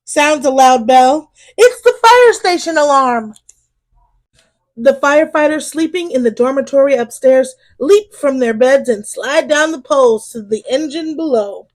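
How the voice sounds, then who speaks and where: Woman, children's story reader, high voice, slow pace, expressive storytelling, drawn-out emphasis. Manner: animated, drawn-out emphasis on exclamations and key phrases.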